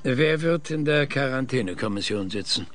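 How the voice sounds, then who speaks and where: An actor playing an irascible, indignant older professor who once trained space crews, in a German audio drama. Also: male voice, medium pitch, lively intonation, normal speed, fairly bright tone, slight background noise, natural voice. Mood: probing. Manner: indignant, blustering.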